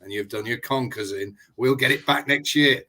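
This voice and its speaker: A man's voice: mid-range voice, normal speed, pushed and bright. A man, a guest tipster on a betting show, chatting casually with dry, teasing quips. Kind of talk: casual live studio chat.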